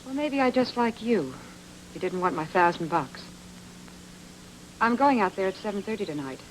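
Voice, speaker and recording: An actress playing a self-possessed, teasing noir femme fatale in a radio drama, with sly, clipped banter. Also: female voice, mid-range voice, lively intonation, normal speed, a little hiss or hum, lightly acted, rough recording. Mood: cool, flirtatious.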